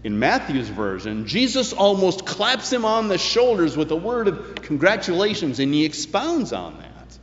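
Echoey male preacher with swooping, animated pitch. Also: moderate pace, hardly any pauses, slight background noise. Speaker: pastor preaching. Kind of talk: sermon with scripture commentary. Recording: echoey hall, long reverb, dull sound.

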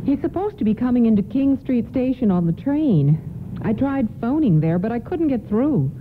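Worried polite mom in a radio play, highish voice, thin phone-like sound, bit of hiss. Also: female voice, sing-song, normal speed. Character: anxious, polite mother shepherding her two kids. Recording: muffled phone-like old radio broadcast, faint noise.

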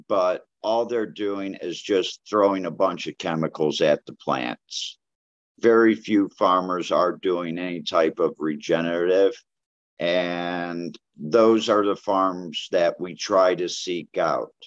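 A low-voiced man speaks very slowly and bluntly, filling his talk with "uh"s as he rambles. The sound is dry and a little dull and lo-fi. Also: no echo. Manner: blunt, rambling with many fillers. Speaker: cannabis grower guest. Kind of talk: casual interview talk. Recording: dull lo-fi audio.

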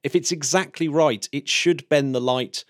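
A man hosting a space podcast in a casual, chatty way, laughing often and throwing in quick asides. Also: medium pitch, no room sound, clean studio sound. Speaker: podcast host. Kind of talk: casual chat.

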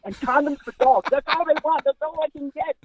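A man's voice: very high-pitched, brisk, no room sound. A man voicing a pushy older male talent agent who calls in to nag and scold, bossy and repeating the listener's name constantly. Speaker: caller. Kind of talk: improvised comedy bit.

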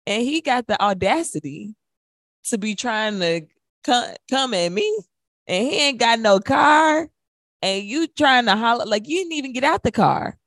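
A woman's voice: a little high, expressive, measured pace, fairly bright tone, no room sound, clean studio sound. A young adult woman co-hosting a radio show, chatting casually about music in an emphatic, sassy, colloquial and opinionated way.

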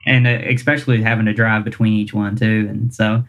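A man's voice: lowish voice, level tone, fairly quick, fairly bright tone. A man, a singer-songwriter chatting casually in an interview, rambling and self-deprecating with lots of fillers. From Kentucky, US.

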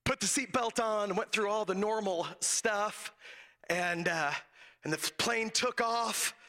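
A man preaching from a church pulpit on a handheld microphone, hoarse and raspy today, telling a folksy personal story with frequent laughs. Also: sits high, unhurried, bright, forceful tone. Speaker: guest preacher. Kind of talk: sermon with humorous personal story.

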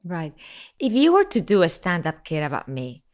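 A woman hosting an interview, with a lower, smooth and resonant voice. She asks playful, teasing questions and laughs along with her guest. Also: wildly swooping pitch, normal speed, darkish tone. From Spain, Mexico, Miami and Venezuelan mix. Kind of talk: casual interview chat.

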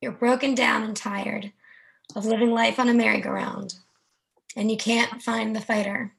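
A woman's voice: a little high, fairly even intonation, moderate pace, gravelly, rough recording. A woman performing earnest spoken verse with building intensity over a video call.

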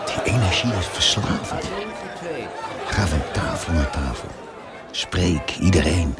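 An actor playing an idealistic, tormented artistic hotel host in a theatrical radio drama monologue. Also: male voice, low voice, animated, a little slow. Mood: elated, impassioned. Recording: music and bustling crowd behind. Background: music and crowd bustle.

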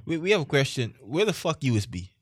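A man's voice: fairly high pitch, sing-song, slightly rough, no echo. A man co-hosting a podcast, joking and swearing in casual banter with friends. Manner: teasing, swears freely, quick comic complaints. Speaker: podcast co-host.

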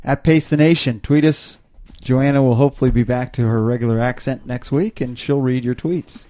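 Mellow man, casual podcast banter. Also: medium pitch, moderate pace. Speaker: podcast co-host.

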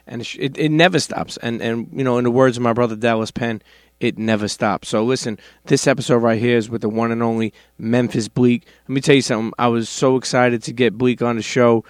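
A man's voice: mid-range voice, steady tone, a little fast, hardly any pauses, no room sound, clean studio sound. A man from Brooklyn with a New York accent, hosting a podcast in a loose, slangy, swearing manner and reading ads. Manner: loose, street slang, swears, repeats phrases. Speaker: podcast host. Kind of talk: casual podcast chat and ad reads.

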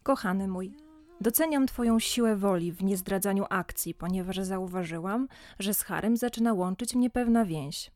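A woman's voice: medium pitch, a little mellow, no room sound, clean studio sound, close to an everyday voice. A woman playing a witty, affectionate wife reading her letter aloud in a radio play, in a wry, literary manner.

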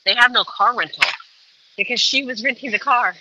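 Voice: mid-range voice, a little fast, lo-fi sound, noisy background. A caller giving a family update in casual, chatty, matter-of-fact storytelling. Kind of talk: casual phone chat.

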